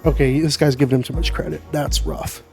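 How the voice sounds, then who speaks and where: A man's voice: mid-range voice, very animated, a little fast, mellow, soft background music. A young man hosting a casual advice vlog, slightly nasal and congested from a sinus infection, talking to the viewer in an opinionated, emphatic way. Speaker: advice show host.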